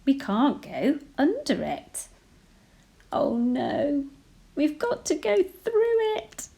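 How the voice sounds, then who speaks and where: A woman teacher reading a children's picture book aloud, slow and playful, whispering the sound words and rising to excited gasps. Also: high-pitched, gravelly. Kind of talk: expressive read-aloud storytelling for children.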